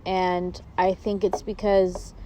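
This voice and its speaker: A woman's voice: fairly low pitch, little pitch movement, slow pace, warm tone. A young woman speaking earnestly in an interview about why she votes. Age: young adult. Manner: earnest, uses "definitely" often. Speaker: first-time voter interviewee. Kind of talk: casual interview answers.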